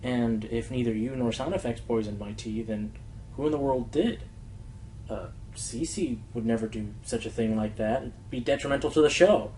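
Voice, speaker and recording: A man's voice: medium pitch, moderate pace, a little mellow, recorded from a distance, a little hiss or hum, lightly acted, rough recording. A man in a radio play voicing an earnest, exasperated pony radio host playing amateur sleuth. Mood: frustrated, pondering.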